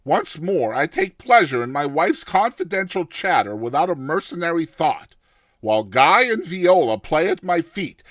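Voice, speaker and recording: A man giving a theatrical, expressive dramatized narration of a short story. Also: a little high, no echo, studio quality.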